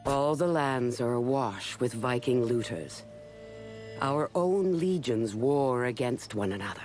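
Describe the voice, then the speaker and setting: A man's voice: mid-range voice, expressive, unhurried, darkish tone, faint music behind, natural voice. An actor playing a grim male warlord who narrates from his fortress in a video game cutscene. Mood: brooding.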